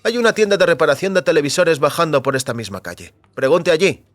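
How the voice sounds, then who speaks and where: A man's voice: fairly high pitch, expressive, fast talker, fairly bright tone, dry recording, pitched above the performer's own voice. A man voicing a friendly young waiter in an audio story, informative and helpful. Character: helpful young male café waiter in small town.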